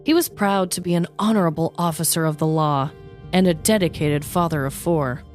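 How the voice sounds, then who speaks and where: A woman with a slightly low voice hosts a comedy podcast. She tells stories in a deliberate, descriptive way, with music playing underneath. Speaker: podcast host. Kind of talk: scripted comedic true-crime narration and casual chat.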